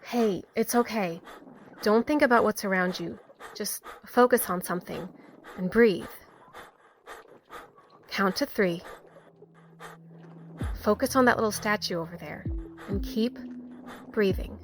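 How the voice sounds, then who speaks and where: A woman playing a calm, pragmatic small-town police officer in an audio drama, speaking gently and reassuringly. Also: mid-range voice, measured pace, long pauses, a little hiss or hum, close to an everyday voice. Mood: soothing. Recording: music under the voice.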